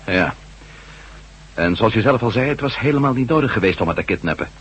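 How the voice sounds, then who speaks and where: A low-voiced, determined adventurer. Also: male voice, animated, brisk, fairly bright tone, in a large hall, lo-fi sound. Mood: guarded, terse. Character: determined male former astronaut turned reporter, dry and steady. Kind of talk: radio drama acting. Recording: faintly hissy old radio drama recording.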